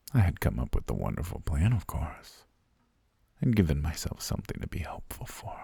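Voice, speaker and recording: A man voicing an obsessive, soft-spoken butler who has kidnapped his lady, talking in a hushed, half-whispered, gently menacing way for an ASMR roleplay. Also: extremely low pitch, creaky, slightly rough, no echo, heavily acted. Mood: hushed confession. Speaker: voice actor.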